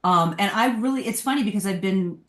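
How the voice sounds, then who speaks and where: A woman's voice: mid-range voice, brisk. A woman chatting casually as a guest on a podcast panel, in roomy sound. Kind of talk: casual chat, discussion.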